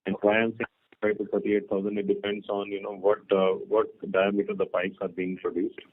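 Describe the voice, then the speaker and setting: A man with an Indian accent answering questions as a company executive on an earnings call, explaining things in a halting way. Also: on the low side, flat delivery. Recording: muffled narrow telephone conference line.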